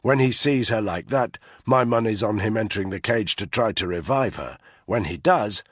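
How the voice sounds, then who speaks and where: A man voicing a hard, commanding male soldier who gives low, clipped orders. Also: moderate pace, fairly bright tone, dry recording, clean studio sound. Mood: tactical.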